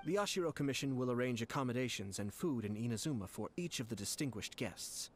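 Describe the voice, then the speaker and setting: A man's voice: medium pitch, expressive, slight background noise, lightly acted. A man voicing a formal young nobleman official. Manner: polite, measured, formal.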